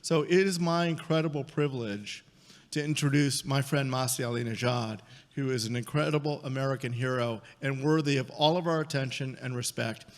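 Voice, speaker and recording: A man's voice: medium pitch, brisk. A middle-aged man giving an earnest, emphatic introduction at a conference lectern. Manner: emphatic, earnest, repeats words. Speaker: event introducer. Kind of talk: formal speech introduction. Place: large conference hall stage. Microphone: lectern microphone. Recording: hall reverb.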